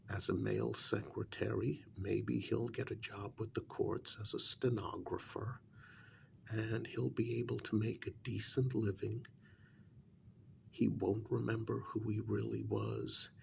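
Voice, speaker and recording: Man acting a slow, gravelly, soft-spoken confidant. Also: fairly low pitch, a little hiss or hum, heavily acted. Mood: calm, pensive. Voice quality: soft, breathy voice dropping into whispers. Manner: hesitant, measured, gently reasoning. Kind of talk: ASMR role-play, soft speaking.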